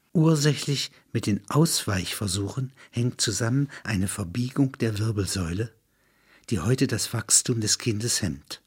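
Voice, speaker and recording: Husky man reading sober narration. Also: medium pitch. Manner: sober, matter-of-fact. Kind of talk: literary scripted narration, documentary-like reading.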